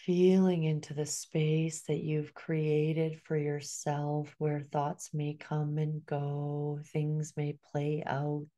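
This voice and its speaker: A middle-aged woman guiding a hypnosis session in a slow, soothing way, often sinking into a soft whisper. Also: deep voice.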